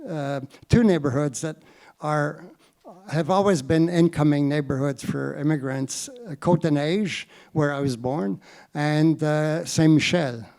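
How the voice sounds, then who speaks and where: Gravelly man in his fifties. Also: on the high side, unhurried, a little mellow. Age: 50s. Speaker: panelist. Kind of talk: panel self-introduction.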